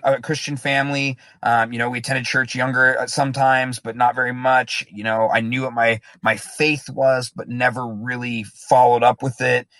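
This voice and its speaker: A man's voice: mid-range voice, a bit bright, no room sound. A man as an interview guest, telling his own story in a candid, reflective, plain-spoken way. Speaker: guest in recovery.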